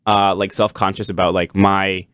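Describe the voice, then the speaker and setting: A young man from Puerto Rico hosts a casual podcast chat, his voice sitting a bit low. He rambles in long sentences, restarting often and leaning on "like" and "kinda." The recording is dry and close. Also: flat delivery, moderate pace, a bit bright, smooth, dry recording. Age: young adult. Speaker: podcast host. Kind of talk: casual conversation.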